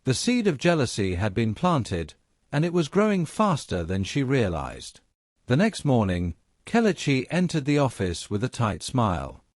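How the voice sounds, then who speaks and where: Man narrating a story, lively intonation. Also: medium pitch, normal speed, dry recording, studio quality. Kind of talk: scripted dramatic story narration.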